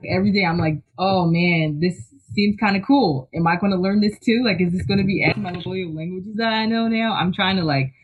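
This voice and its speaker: Husky, chatty guest on lo-fi audio. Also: medium pitch, brisk, hardly any pauses. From Philadelphia, US. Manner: enthusiastic, chatty, filler-heavy, laughs often. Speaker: guest, technical writer. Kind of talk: casual interview chat.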